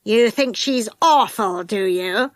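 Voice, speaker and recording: A strict old schoolmistress, as a woman reader puts her on, cold and clipped, in a lower, measured voice than the storyteller's own. The speech comes slow and sharp, like a harsh storybook teacher laying down the rules. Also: no echo, studio quality, close to an everyday voice. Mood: stern.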